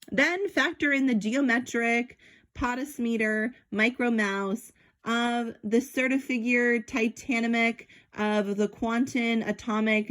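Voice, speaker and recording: An excitable mouse professor and inventor from a children's storybook, acted by a woman. He speaks earnestly and pedantically in a lifted voice. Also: on the high side, pitched above the performer's own voice, lightly acted.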